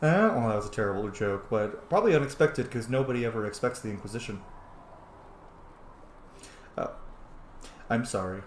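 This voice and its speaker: A man's voice: on the low side, animated, normal speed, long pauses, a little hiss or hum. A man giving casual, jokey roleplay commentary as he streams a game, swearing freely. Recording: roomy, echoing sound.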